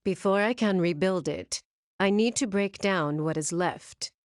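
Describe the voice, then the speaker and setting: A woman performs a female gem villain for a cartoon game, cold and superior. She speaks slowly in a slightly low voice, with clinical, condescending precision. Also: dry recording, studio quality, natural voice. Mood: cold, certain. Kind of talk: animated game cutscene acting.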